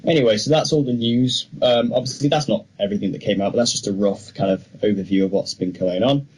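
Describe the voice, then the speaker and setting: A man's voice: fairly low pitch, fast, darkish tone, slight background noise, rough recording. A young man co-hosting a film podcast, talking casually and giving his opinions freely. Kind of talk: casual discussion of film news.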